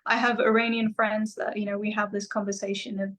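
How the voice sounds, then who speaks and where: A woman's voice: medium pitch, level tone, brisk, a bit bright. A young adult woman from England, a musician guest, talking in a casual interview with many fillers and restarts. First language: Farsi. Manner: reflective, with frequent fillers and restarts.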